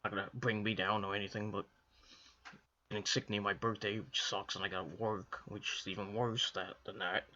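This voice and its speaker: A hoarse man from the Northeastern US, coming down with a cold, rambling casually through a wrestling review with lots of restarts and fillers. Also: on the low side, level tone, brisk, bright, forceful tone, highs cut off. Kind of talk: casual solo commentary to camera.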